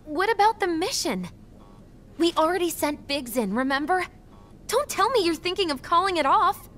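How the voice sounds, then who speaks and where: High-voiced, spirited young rebel. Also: female voice, big pitch swings, normal speed, a bit bright, exaggerated voice. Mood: worried. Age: young adult. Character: spirited, teasing young female rebel fighter. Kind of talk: cinematic video game acting. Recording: game music and effects behind.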